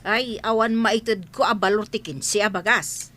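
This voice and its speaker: A woman's voice: medium pitch, normal speed, natural voice. A woman playing a reluctant, grumbling village store owner in an Ilocano comedy radio drama, with an Ilocano accent. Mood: grudging.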